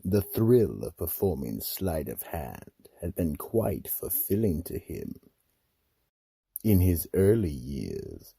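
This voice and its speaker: An actor playing a grave, ominous narrator of a radio mystery story, with a husky, gravelly voice. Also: male voice, sits low, dark tone, no room sound, exaggerated voice.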